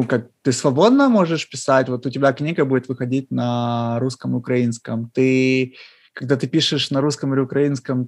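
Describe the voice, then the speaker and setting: A man with a gravelly voice co-hosts a podcast, setting out his questions slowly and in a measured way. The sound is dry and close, with no room around it. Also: medium pitch, a little mellow, dry recording. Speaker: podcast co-host. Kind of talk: casual podcast chat.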